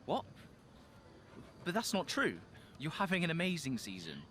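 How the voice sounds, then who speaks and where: Young male racing driver, guarded and defensive, forceful bright tone, game drama. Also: fairly high pitch, wildly swooping pitch, normal speed, slight background noise, exaggerated voice. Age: young adult. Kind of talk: video game cutscene acting.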